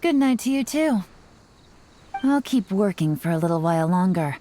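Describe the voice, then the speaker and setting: An actress playing a sly, self-assured young woman intelligence agent in a video game, speaking in a coy, teasing, unhurried way. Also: medium pitch, animated, close to an everyday voice. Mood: relaxed, friendly. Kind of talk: scripted video game character voice lines. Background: soft music.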